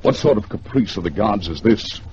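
An American man narrates a radio thriller with theatrical suspense and lively swings in pitch. His voice is fairly low and his phrasing measured. It sounds like a dull, slightly noisy 1950s broadcast. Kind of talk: dramatic 1950s radio storytelling.

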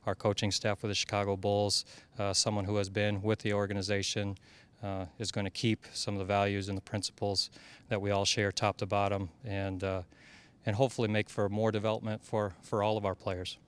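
A man's voice: fairly low pitch, flat delivery, fairly quick, a bit bright, clear, resonant tone. A man in his thirties from Iowa, a basketball head coach answering interview questions earnestly, with many fillers and restarts, into a handheld mic at an indoor media backdrop. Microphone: handheld mic held by interviewer.

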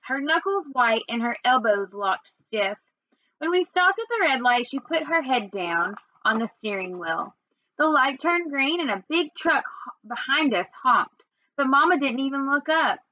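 Young female storyteller, high register, expressive read-aloud delivery, close dry room sound. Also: dry recording, highs cut off. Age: young adult. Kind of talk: read-aloud of a children's novel. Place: small indoor room at home.

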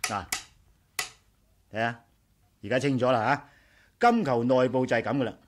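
A man's voice: medium pitch, normal speed, noisy background, lightly acted. An actor playing a confident, curious male scientist in a Cantonese radio drama, explaining things reassuringly and methodically. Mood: explanatory, absorbed.

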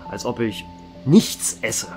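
A man voices a centuries-old vampire barkeeper who tells his story calmly and with dry humor. His mid-pitched voice rises and falls freely, and clear background music runs under it. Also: slow. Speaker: narrator and bar owner. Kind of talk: fan audio drama narration.

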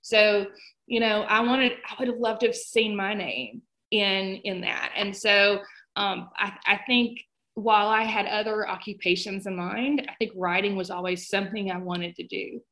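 A woman's voice: mid-range voice, normal speed, bright, forceful tone. A woman in her fifties chatting casually as a guest author in an interview.

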